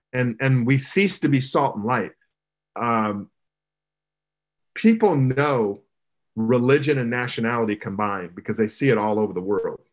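A middle-aged man, a pastor, speaking emphatically in an animated panel discussion over a video call from his home. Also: medium pitch. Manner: emphatic preaching cadence, gestures broadly. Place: home room, indoors. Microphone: video call, webcam from below.

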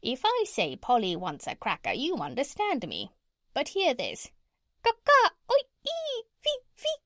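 A woman's voice: on the high side, huge pitch swings, moderate pace, no room sound, studio quality. A woman voicing a proud, bossy female parrot in a children's storybook, speaking in an emphatic, instructive way. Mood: proud, showing off. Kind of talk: children's storybook character reading.

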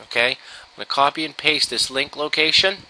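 An American man giving an informal software tutorial, explaining step by step in a casual, instructional way. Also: a little high, a little fast, low-quality recording. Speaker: software trainer. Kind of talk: informal screen-share tutorial. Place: indoors, at a computer.

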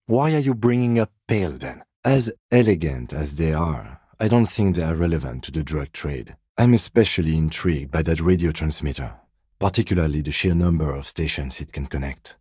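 An actor playing a composed, dry police detective in a video game, speaking in a measured, restrained and formal way with exasperation held in check. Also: male voice, rumbling low, expressive, warm tone, slightly rough, no echo, full character voice. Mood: weary, exasperated, then matter-of-fact. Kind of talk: scripted video game dialogue.

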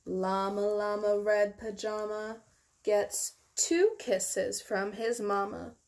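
Slow-paced young woman reading a storybook. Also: mid-range voice, darkish tone. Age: young adult. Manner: slow, deliberate, drawn-out key words. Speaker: teacher reading a children's storytime. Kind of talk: expressive picture-book read-aloud with simple explanations for children.

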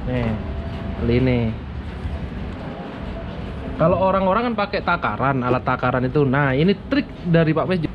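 A man chatting casually outdoors beside his scooter, explaining things as he shows them. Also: on the high side. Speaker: motovlogger. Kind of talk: casual how-to vlog chat. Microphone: action camera. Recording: muffled action-cam audio with clear traffic noise.

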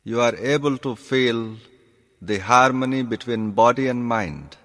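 Very slow-speaking man guiding meditation, reverberant. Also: medium pitch. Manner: calm, deliberate, instructing in short measured phrases. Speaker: yoga teacher. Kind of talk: guided meditation instruction. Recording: long reverberant hall sound.

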